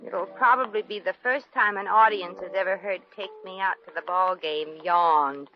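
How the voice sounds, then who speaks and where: A woman's voice: medium pitch, moderate pace, soft background music, close to an everyday voice. An actress playing a brassy, hard-up showgirl with a slangy, sassy manner in a 1950s radio comedy. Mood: weary, wry. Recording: dull old radio recording with hiss.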